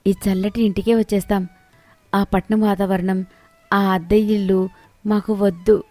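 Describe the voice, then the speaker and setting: Woman playing expressive young city daughter-in-law. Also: mid-range voice, a little slow, dry recording, faint music behind, natural voice. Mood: apologetic, earnest. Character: young city-living daughter-in-law, proud turned humble.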